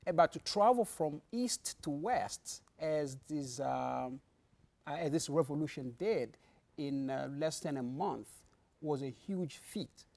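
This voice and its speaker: A man's voice: fairly high pitch, lively intonation, takes it slowly, creaky, darkish tone. A middle-aged man from the Democratic Republic of the Congo, a pastor, answering studio interview questions in an earnest, explanatory way, with many fillers and restarts.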